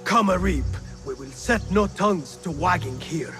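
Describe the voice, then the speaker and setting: A man's voice: high-pitched, expressive, normal speed, sharp tone, faint music behind. A man playing a haughty, possessive rich patron in a video game, pompous and indignant. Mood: angry, indignant. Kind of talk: theatrical video game acting.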